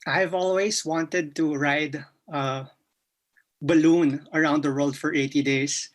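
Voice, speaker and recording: A man from the Philippines with a Filipino accent, giving a timed practice answer for a speaking exam, with frequent pauses and restarts. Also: on the high side, steady tone. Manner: hesitant, many fillers and restarts. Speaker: IELTS student.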